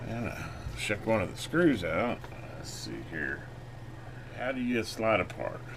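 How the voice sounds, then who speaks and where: Low-voiced man thinking aloud, noisy recording. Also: animated, measured pace, creaky. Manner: off-the-cuff, thinking aloud while working. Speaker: electronics repair video host. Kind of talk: casual hands-on review and repair narration.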